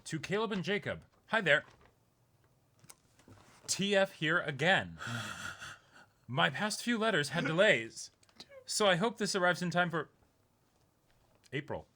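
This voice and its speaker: A man's voice: sits high, very animated, takes it slowly, pauses often, pushed and bright. A young man co-hosting, reading aloud casually and breaking into easygoing, chatty asides. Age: young adult. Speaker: co-host of an unboxing show. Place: small indoor room.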